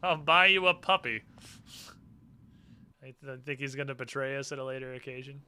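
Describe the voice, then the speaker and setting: A man livestreaming a game with lively, sarcastic, irreverent commentary and plenty of swearing. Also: medium pitch, moderate pace, noisy background. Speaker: livestreamer playing an online role-playing game. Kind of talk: lively gameplay commentary with mock dialogue readings.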